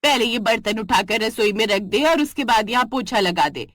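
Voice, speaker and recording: Lady doing a pushy bossy aunt in a cartoon, kinda high voice, dry sound. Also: normal speed, fairly bright tone, dry recording. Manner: bossy and teasing. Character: pushy, scheming middle-aged aunt-in-law guest.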